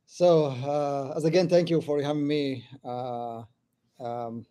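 A man in his forties from Ethiopia, speaking as a guest in a podcast interview, pausing often with "uh" between phrases. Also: fairly high pitch, fairly even intonation, mellow. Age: 40s. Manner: halting, many "uh" fillers. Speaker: government official, guest.

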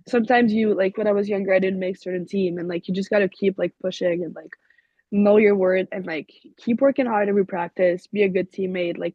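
A woman's voice: mid-range voice, slightly rough, no room sound. A young woman from Quebec, a native French speaker with a Québécois accent, answering interview questions casually and saying "like" constantly. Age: young adult. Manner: leans heavily on "like" as a filler. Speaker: college hockey player guest. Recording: dull lo-fi sound.

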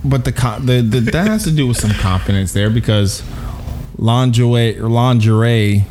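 A man's voice: mid-range voice, normal speed, darkish tone. A man with a mid-range voice talks in loose, casual chat, pressing his points hard and circling back on his words. He laughs easily between lines. A faint hum of background noise sits behind him. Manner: emphatic, repeats and restarts words, laughs often.

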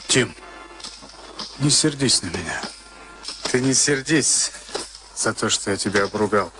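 An actor in a Soviet radio play as a moody, weary boxer of thirty-six. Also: male voice, lowish voice, animated, unhurried. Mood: troubled, brusque. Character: moody, weary 36-year-old professional boxer and former prisoner. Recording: archival radio recording with music behind.

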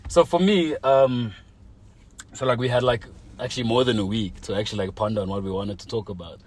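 A man's voice: fairly low pitch, steady tone, brisk, breathy edge. A young man telling a personal story in casual chat, full of slang and fillers like "like" and "you know". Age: young adult.

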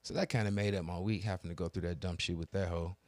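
A man's voice: low voice, fairly even intonation, fast talker, a little mellow, no echo. A young man telling a long, profane story in casual podcast chat, acting out both sides of the arguments. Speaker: podcast co-host.

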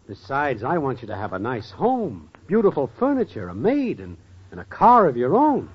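Slangy small-time schemer, swinging pitch. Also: male voice, huge pitch swings, normal speed, breathy edge. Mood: smooth-talking. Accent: American. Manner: slangy, ungrammatical street talk. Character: slangy scheming small-time male boyfriend, lazy dreamer. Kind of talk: 1940s American radio comedy acting. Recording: dull, noisy old radio broadcast.

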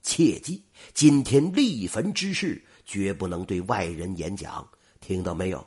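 A stern old hunter father with a low, husky growl, as a male storyteller puts him on. He speaks gravely and curtly, like the head of a village household in a told folk tale, his rough tone dropping well under the narrator's own. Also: lively intonation, a little slow, mellow, full character voice.

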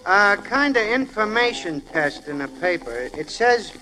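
An actor playing a genial, easily impressed man with a hesitant, deliberate way of talking in a 1940s radio sketch. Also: high-pitched, very animated, music in the background, low-quality recording. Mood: slow, impressed. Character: slow, easily impressed male newspaper reader, genial.

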